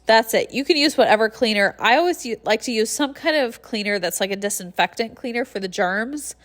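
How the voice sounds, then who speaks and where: A congested young woman with a nasal, stuffy voice, chatting casually through a cleaning tutorial voice-over and breathing heavily. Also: medium pitch. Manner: friendly and chatty, with light laughs and heavy breathing. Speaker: cleaning channel host.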